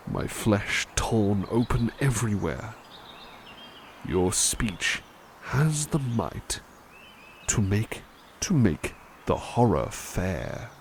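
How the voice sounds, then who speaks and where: A man voicing a doting butler in a soft-spoken ASMR roleplay, talking gently and soothingly with hesitant restarts. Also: fairly low pitch, noisy background.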